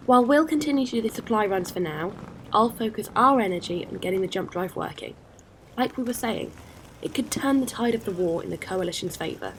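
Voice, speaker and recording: An actress playing a decisive, warm-hearted starship captain in a sci-fi audio drama. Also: female voice, medium pitch, lively intonation, a little fast, a little hiss or hum, lightly acted, low-quality recording. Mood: earnest.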